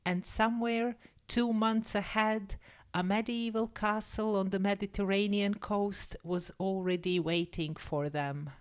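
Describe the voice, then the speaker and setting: A woman reads as a teacher would for language learners. Her pace is slow and her pitch sits in the middle for a woman. She explains carefully, in a measured, scripted narration style. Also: steady tone. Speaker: English teacher and story reader. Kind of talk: scripted story narration and vocabulary lesson.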